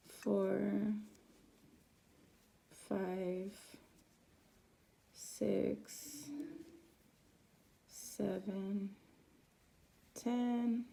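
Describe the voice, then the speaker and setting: A woman teaching a crochet pattern step by step, her voice raspy from a sore throat as she counts stitches aloud. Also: medium pitch, mellow, slight background noise, poor audio. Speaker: crochet instructor. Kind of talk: step-by-step craft tutorial.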